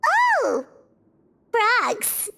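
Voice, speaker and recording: A woman's voice: very high voice, huge pitch swings, drawn-out words, gravelly, very noisy, full character voice. A woman voicing a tiny childlike companion in a video game, eager, curious and exclamatory. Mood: eager, surprised. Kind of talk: video game character acting. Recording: background music under voice.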